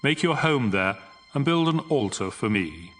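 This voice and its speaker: An actor playing God as a solemn, authoritative deity in a dramatized audio Bible. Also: male voice, medium pitch, big pitch swings, moderate pace, faint music behind, close to an everyday voice. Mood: solemn, commanding.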